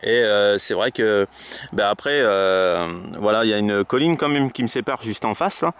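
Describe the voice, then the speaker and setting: A man's voice: on the low side, moderate pace, a bit bright, clear, resonant tone. A man chatting casually as a radio operator, in a hesitant, repetitive way. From France. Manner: hesitant, repetitive verbal tics. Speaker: amateur radio operator filming his video. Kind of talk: casual radio contact chat. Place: outdoors on open high ground.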